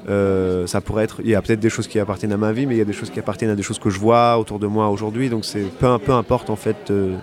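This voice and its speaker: A man, an actor and director who grew up bilingual in French and Italian, talking reflectively in an interview with many pauses and fillers. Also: fairly low pitch, a little mellow.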